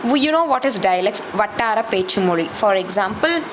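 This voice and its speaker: A woman's voice: medium pitch, lively intonation, brisk, background noise. A woman from Tamil Nadu with a Tamil accent, giving a classroom lecture, repeating points and asking rhetorical questions with many fillers. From Tamil Nadu, India. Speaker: college lecturer.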